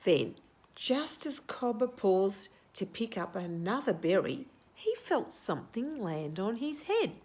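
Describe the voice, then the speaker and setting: Slow, very expressive woman storyteller. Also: mid-range voice. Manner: explains words simply, asks questions. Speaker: children's storyteller. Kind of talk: animated picture-book read-aloud with asides to young children.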